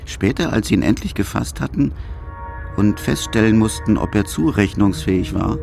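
A man's voice: fairly low pitch, expressive, moderate pace, creaky, a little mellow, soft background music. A man narrating a true-crime radio documentary from a script in sober, clipped phrases.

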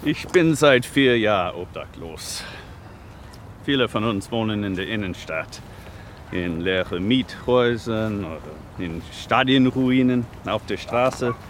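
A man's voice: fairly low pitch, expressive, measured pace. Homeless man amid street noise. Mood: matter-of-fact, proud. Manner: slightly broken German grammar, plain-spoken. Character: proud, easygoing homeless male sanitation worker in Detroit. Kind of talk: naturalistic radio drama, documentary interview. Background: outdoor urban ambience with vehicles.

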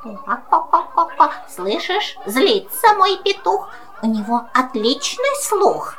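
A woman's voice: sits high, big pitch swings, takes it slowly, fairly bright tone, full character voice. A woman playing a fussy, apologetic mother hen, clucking between rhymed lines in a children's audio play. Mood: flustered excuses. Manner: clucking, gossipy.

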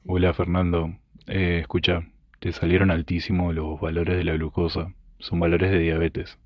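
A man playing a calm, supportive doctor in a student radio drama. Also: deep voice, moderate pace, no room sound, studio quality, natural voice. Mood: matter-of-fact.

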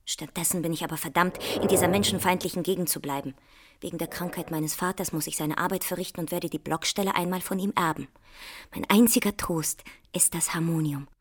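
A woman's voice: fairly low pitch, a little fast, natural voice. A woman acting in a German radio play as a melancholy signal box keeper with a wistful, plaintive way of speaking.

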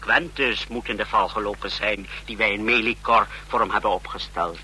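A man's voice: fairly low pitch, steady tone, moderate pace, pushed and bright, slight background noise. An actor playing a deferential, scheming henchman to a totalitarian leader, formal and assured, in an old radio drama style. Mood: urgent, confident. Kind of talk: mid-century Dutch radio drama acting.

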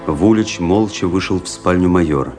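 A man narrating a radio play as an officer recalling events, in a grave, measured, brooding manner. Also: deep voice, over loud music, natural voice. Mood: tense narration. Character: grave officer recounting a fateful memory.

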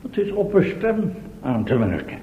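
Pompous professor, swooping intonation. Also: male voice, on the high side, huge pitch swings, normal speed. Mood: indignant. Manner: commanding, formal, self-important. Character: bossy, pompous professor leading an expedition. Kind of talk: Dutch radio play acting. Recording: dull, roomy old radio recording, faint noise.

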